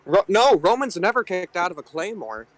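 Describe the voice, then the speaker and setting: A man's voice: sits high, very animated, moderate pace. A man co-hosting a casual wrestling discussion in a matter-of-fact, clarifying manner. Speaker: podcast co-host. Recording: slightly noisy amateur audio.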